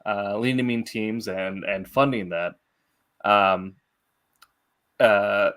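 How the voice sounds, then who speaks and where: A man hosts a relaxed, casual interview in a fairly low voice. He feels his way through sentences with halting, hesitant phrasing. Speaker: interviewer and show host.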